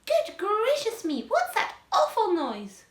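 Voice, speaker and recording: A spiteful, bullying aunt acted out by a woman reader from a children's storybook. Her voice is shrill and high, exclaiming and threatening, in a roomy, echoing space. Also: wildly swooping pitch, slow pace, full character voice. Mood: startled, indignant.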